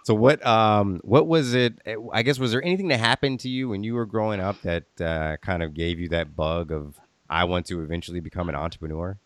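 A man's voice: low voice, a little fast, no room sound. A man hosting a podcast interview in an informal, welcoming manner. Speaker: podcast host.

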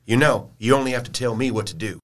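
A man's voice: medium pitch, quick pace, a bit bright, lightly acted. Man as a folksy, forthright young gallant. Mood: steady, helpful. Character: plain-spoken, easygoing, gallant male American adventurer. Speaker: actor in audio drama. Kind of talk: dramatized gothic horror audio drama.

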